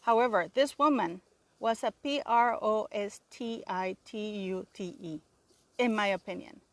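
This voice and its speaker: A woman's voice: medium pitch, measured pace, no room sound. A woman with a Canadian accent giving an indignant, rambling solo commentary as host of her own channel. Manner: emphatic, indignant, rambling with restarts.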